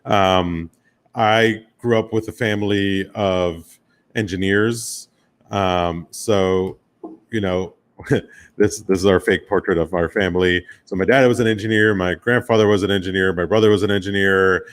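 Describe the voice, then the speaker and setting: A man's voice: low voice, steady tone, measured pace. An American man from Miami giving an informal presentation about his own career, speaking in a casual, loosely phrased, conversational way. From Miami, United States. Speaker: guest speaker, commercial photographer and director.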